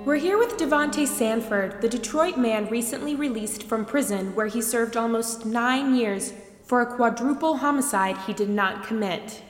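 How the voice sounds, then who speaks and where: A woman's voice: a little high, moderate pace, soft background music, natural voice. A woman playing a composed, formal news reporter who reports and asks interview questions in an audio drama. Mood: composed, inquiring. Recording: echoey reverberant hall.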